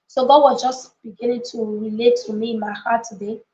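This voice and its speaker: A woman's voice: fairly high pitch, steady tone, brisk, mellow. A woman coach leading an informal motivational live lesson, speaking emphatically, repeating key phrases and checking in with her listeners. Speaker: coach and book club leader hosting a live session. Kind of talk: motivational live teaching with informal chat to viewers. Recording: echoey room, slightly dull lo-fi audio.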